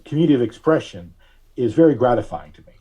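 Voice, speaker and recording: Man, husky rough-edged voice, mellow tone, halting delivery, thoughtful podcast guest. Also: mid-range voice, expressive. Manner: halting, searching for words, thoughtful. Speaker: podcast guest, artist and reverend. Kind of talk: conversational interview answers.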